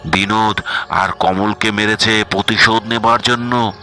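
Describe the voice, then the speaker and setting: Deep, bright-voiced male story narrator. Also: fairly even intonation, a little slow, faint music behind. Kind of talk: scripted horror story narration.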